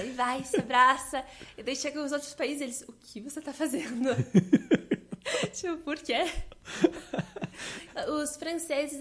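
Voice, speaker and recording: Young southern Brazilian woman, an animated interview guest with a higher voice. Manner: lively, laughs often, quotes others' reactions. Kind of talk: interview answers.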